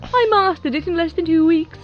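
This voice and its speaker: A woman's voice: very high voice, fairly quick, dull audio. A woman voicing a proud, matter-of-fact pony actress in a scripted comic-dub interview. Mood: self-assured. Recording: loud music behind the voice.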